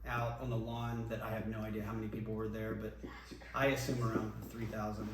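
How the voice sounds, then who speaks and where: A man, a former band singer, reminiscing casually in an interview, relaxed and rambling with many ums and restarts. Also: on the low side, fairly quick. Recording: echoey room, voice from a distance, faint noise.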